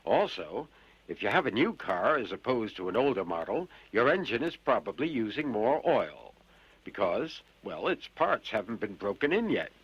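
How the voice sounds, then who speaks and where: A man delivers a scripted radio advice spot with animated pitch and a rough, husky tone. The sound is dry and a little muffled, like an old broadcast. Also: moderate pace, dry recording. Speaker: public service announcer. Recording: slightly dull radio broadcast recording.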